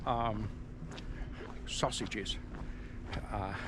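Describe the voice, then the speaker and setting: A middle-aged man, born in England softened by speech, chatting casually to camera as a hiking vlogger in a resort cafeteria. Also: on the low side, fairly even intonation, very slow, background noise. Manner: chatty, rambling, with chuckles and restarts. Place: mountain resort cafeteria, indoors.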